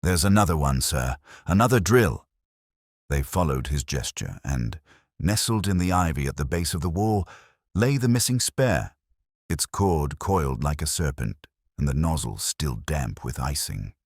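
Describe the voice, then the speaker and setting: A man's voice: rumbling low, animated, a little slow, darkish tone, dry recording, studio quality. A man reading a scripted detective mystery as a narrator, in storytelling audiobook style.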